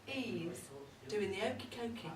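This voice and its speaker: A woman's voice: medium pitch, a little slow, natural voice. A woman playing a cheeky, boozy older mother-in-law, gossiping in a wry, bawdy, confiding comic monologue. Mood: gossipy, cheeky. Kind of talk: comic dramatic monologue read to a live audience. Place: indoor live event at a pub. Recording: roomy, distant live recording with audience noise. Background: audience crowd.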